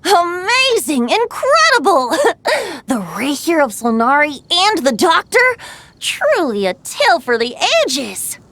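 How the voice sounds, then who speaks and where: A woman's voice: sits high, very animated, slow, fairly bright tone, no room sound, exaggerated voice. A woman voicing a youthful, breezy male bard in a video game, with a lilting, theatrical storyteller's manner. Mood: delighted. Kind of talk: video game character dialogue.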